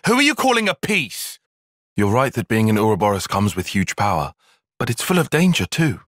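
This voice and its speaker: A man's voice: on the low side, very animated, a little fast, fairly bright tone, no echo, clean studio sound. A man with a British accent playing an earnest, analytical young soldier in a video game, speaking in formal, precise phrases. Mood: irritated. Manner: formal, precise, bookish wording. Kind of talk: video game cutscene acting.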